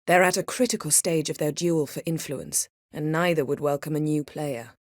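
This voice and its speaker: Woman doing a proud game sorceress, kinda low voice, crisp imperious phrasing, wry and composed, very dry recording. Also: normal speed, no room sound, lightly acted. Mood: measured, businesslike. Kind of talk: video game character dialogue.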